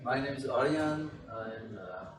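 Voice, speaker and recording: A man's voice: mid-range voice, expressive, crowd noise. Man from Iran introducing himself, echoey. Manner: plain, halting self-introduction. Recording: echoey room from far off.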